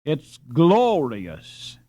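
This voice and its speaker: A man's voice: fairly high pitch, wildly swooping pitch, extremely slow, warm tone, dry recording, full character voice. A man doing an eager English boy. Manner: enthusiastic, chatty. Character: enthusiastic English boy abroad.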